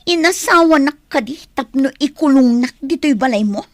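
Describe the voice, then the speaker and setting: Plaintive young wife, high-voiced woman acting. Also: lively intonation, normal speed, no room sound. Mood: hurt, protesting. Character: young wife, hurt and protesting against her controlling husband. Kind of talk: Ilocano comedy-drama radio acting.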